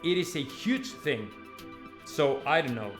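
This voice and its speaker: A man's voice: a little high, big pitch swings, a little slow. A man talks casually to his audience as a football host. His delivery is conversational and emphatic, with repeated phrases and checking tags at the ends of thoughts. Light music plays underneath. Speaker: football vlogger and fan channel host. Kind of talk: casual news commentary to viewers.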